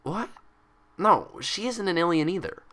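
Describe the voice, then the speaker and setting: An earnest young cartoon mare, put on by a male storyteller, speaking in a raised, lively voice. She sounds urgent and dryly exasperated, always ready to defend herself. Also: high-pitched, measured pace, pitched above the performer's own voice, heavily acted.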